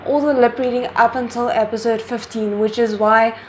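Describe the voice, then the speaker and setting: Chatty excited woman reacting on camera. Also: fairly high pitch, moderate pace, a little hiss or hum. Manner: excited, chatty, thinks aloud. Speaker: reaction video host. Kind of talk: casual chat.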